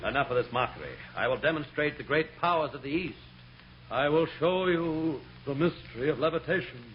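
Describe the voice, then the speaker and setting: A man's voice: fairly quick, close to an everyday voice. A man playing a pompous phony mystic in an old radio comedy, grandiose and declamatory in manner. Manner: pompous, declamatory, turning shrill. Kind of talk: 1940s radio comedy acting. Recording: dull, noisy old radio recording.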